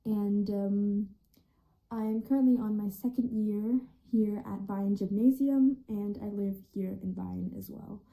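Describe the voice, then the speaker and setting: A woman's voice: medium pitch, steady tone, measured pace, vocal fry, dark tone, poor audio. A seventeen-year-old female student from Denmark giving a reflective personal account in casual, youthful phrasing. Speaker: high school student.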